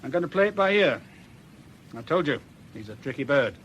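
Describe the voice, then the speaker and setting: Dry world-weary detective inspector in a radio play, lively pitch, clear background noise. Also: male voice, fairly quick, muffled recording. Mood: wry, confident. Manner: wry, colloquial.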